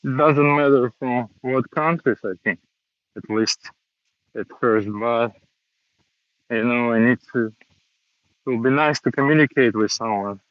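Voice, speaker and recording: Man vlogging on a walk: slow, halting learner English, dull dry phone audio. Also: mid-range voice, no room sound. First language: Russian. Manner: slow, hesitant learner English with many pauses. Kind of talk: casual walking vlog monologue. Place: outdoors, walking around a city.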